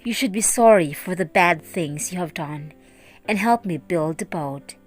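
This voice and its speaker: A woman's voice: lowish voice, a little slow, soft background music. A woman voicing an older, righteous boatbuilder in a children's Bible story, earnest, firm and admonishing. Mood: urging.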